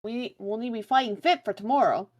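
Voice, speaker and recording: A woman's voice: fairly high pitch, fast, muffled recording, a little hiss or hum, close to an everyday voice. A woman voicing a cheerful young female sidekick in an upbeat, breezy way while reading game lines.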